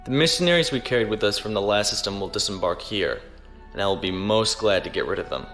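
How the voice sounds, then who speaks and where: Reserved, low-voiced space navy lieutenant. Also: male voice, lively intonation, fairly bright tone, soft background music. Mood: formal, weary. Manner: formal, measured. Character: dutiful, reserved male imperial naval lieutenant. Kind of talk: audio drama acting, log narration.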